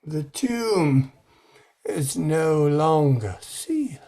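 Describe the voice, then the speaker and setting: An actor playing a solemn old man who fears an old legend, speaking in slow grave warnings. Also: on the high side, animated, warm tone, heavily acted. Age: older. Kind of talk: old time radio drama acting.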